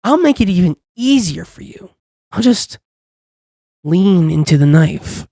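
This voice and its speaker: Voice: medium pitch, big pitch swings, gravelly, warm tone, dry recording, full character voice. Performer playing a smug, teasing demon, close and dry. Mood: daring. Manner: teasing, coaxing, unhurried with lingering pauses. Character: smug, teasing demon contract-dealer, calmly dominant over captive. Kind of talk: ASMR roleplay, intimate scripted monologue.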